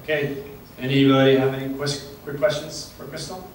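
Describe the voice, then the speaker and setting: A man's voice: fairly high pitch, level tone, normal speed, noisy background. Distant man moderating in an echoey hall. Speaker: workshop moderator.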